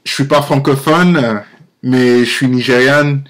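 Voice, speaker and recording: A man in his twenties with an American accent, a native English speaker born in Nigeria, talking casually to camera as an amateur vlogger, haltingly searching for words and correcting himself. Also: medium pitch, fairly even intonation, a bit bright. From Nigeria-born, grew up in the United States. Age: 20s. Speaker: amateur video blogger.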